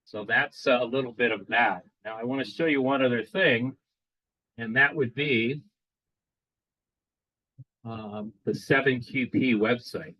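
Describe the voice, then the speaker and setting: Halting man giving a radio club demo. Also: medium pitch, pushed and bright, dry recording, rough recording. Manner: casual, halting, many fillers and restarts. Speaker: club presenter at a ham radio meeting. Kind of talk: live demo explanation. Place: club meeting room.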